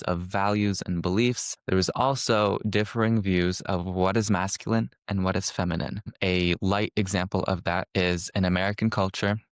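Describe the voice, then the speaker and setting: A young man from California, a social work student, giving a relaxed, reflective webinar presentation with frequent restarts and fillers. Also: deep voice, smooth, no room sound, studio quality.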